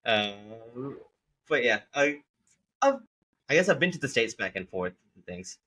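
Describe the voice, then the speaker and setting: A man's voice: medium pitch, very animated, measured pace, sharp tone, husky. A man from India talking in a casual, conversational way as a podcast host.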